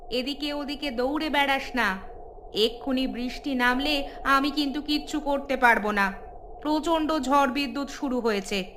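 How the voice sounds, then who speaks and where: A woman's voice: high-pitched, pushed and bright, slight background noise. A woman voicing a fussy, easily frightened village housewife in a cartoon story, plaintive and full of exclamations.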